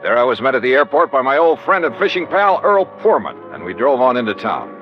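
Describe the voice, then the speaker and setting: A man's voice: medium pitch, very animated, a little fast, faint music behind, lightly acted. An actor playing a sardonic, impatient American insurance investigator who narrates his case in a 1950s radio drama. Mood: brisk narration. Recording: dull, noisy old radio recording.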